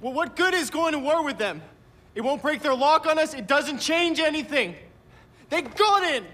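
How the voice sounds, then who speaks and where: A man's voice: very high voice, lively intonation, normal speed, fairly bright tone. A man playing an agitated hacker in a video game cutscene. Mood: exasperated.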